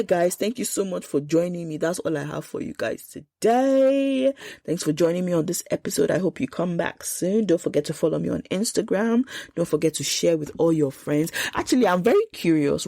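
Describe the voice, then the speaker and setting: A Nigerian woman in her thirties hosting a solo podcast, congested with a sore throat, talking in an emphatic, animated way. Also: fairly low pitch, creaky, no room sound, clean studio sound. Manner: animated, emphatic, quotes people in lively asides. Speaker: podcast host.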